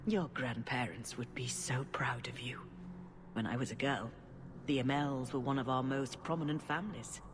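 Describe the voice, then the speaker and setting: A woman's voice: very deep voice, steady tone. Dignified elderly female cleric, over noise. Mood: stately, gracious. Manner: stately, measured, diplomatic. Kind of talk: video game voice acting.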